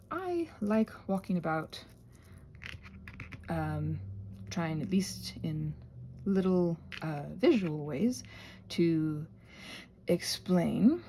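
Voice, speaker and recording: Woman rambling playfully, pitch swinging freely. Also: dark tone. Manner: playful, quirky, digressive. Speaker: host of a crafting channel. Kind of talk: casual rambling craft chat, ASMR channel.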